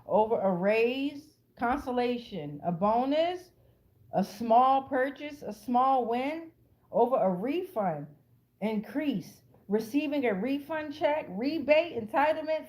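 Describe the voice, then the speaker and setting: The speaker is an American woman with a somewhat high voice. She improvises a tarot reading for her online audience in a casual, streetwise way. Her talk is blunt and full of profanity, and she circles back to phrases to drive them home. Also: darkish tone. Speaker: tarot card reader, channel host.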